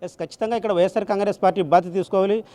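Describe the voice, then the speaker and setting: A man reporting live on an election in a wordy, repetitive way, often halting mid-word. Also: high-pitched, steady tone, warm tone, no room sound. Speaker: field news reporter.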